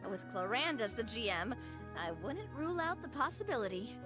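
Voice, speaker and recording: A spirited young woman, high-pitched and bouncy, chatting and teasing in an animated, playful way. Game music plays clearly behind her. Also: normal speed. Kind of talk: video game voice acting.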